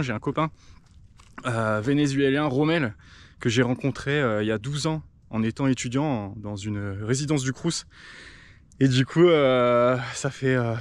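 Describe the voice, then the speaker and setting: A thirty-year-old man from northern France giving a casual, improvised travel vlog with hesitant, drawn-out pauses. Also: mid-range voice. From Nord-Pas-de-Calais, northern France. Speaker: travel vlogger.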